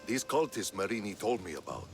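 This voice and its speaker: An actor playing a measured, principled male Spartan commander in a game cutscene who argues calmly and persuasively. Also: fairly low pitch, gravelly, soft background music. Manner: calm, persuasive. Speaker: Spartan general.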